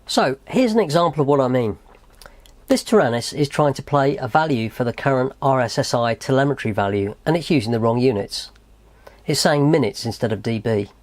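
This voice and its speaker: A man's voice: medium pitch, lively intonation, brisk, dry recording. A man presenting a how-to tutorial in a methodical, explanatory way. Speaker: host of a tutorial channel.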